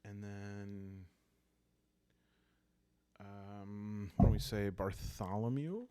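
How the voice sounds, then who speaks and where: Male, deep register, extremely unhurried; calm solo roleplay narrator who pieces the story together aloud. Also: clean tone, no echo. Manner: calm, thinking aloud in short halting phrases. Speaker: host and solo player of a journaling roleplaying game. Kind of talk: calm, soothing improvised solo roleplay narration for sleep listening.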